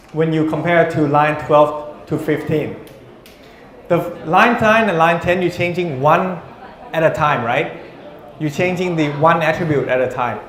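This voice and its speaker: A male university lecturer teaching a class, teasing students and calling on them by name. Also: a little high. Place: lecture room. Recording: echoey lecture room.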